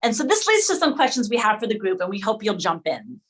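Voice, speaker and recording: A woman's voice: medium pitch, huge pitch swings, quick pace. A woman hosting an online session for educators, presenting in a conversational way and checking in with listeners. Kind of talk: presenting and moderating a video meeting discussion.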